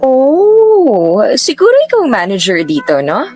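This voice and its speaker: A middle-aged Filipina mother in a radio drama, with a high, swooping voice. She is coquettish, whiny and theatrical, hopelessly romantic, with music underneath. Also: wildly swooping pitch, a little slow, darkish tone, full character voice. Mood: flirty. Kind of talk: Tagalog radio drama acting. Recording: music bed under dialogue.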